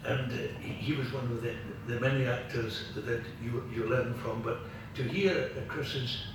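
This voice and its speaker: An older man with a Scottish accent, a veteran actor giving a halting, rambling after-dinner vote of thanks with theatrical emphasis. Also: medium pitch, gravelly. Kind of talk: after-dinner speech with anecdotes. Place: large room at a club luncheon. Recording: very echoey room from far off, noisy.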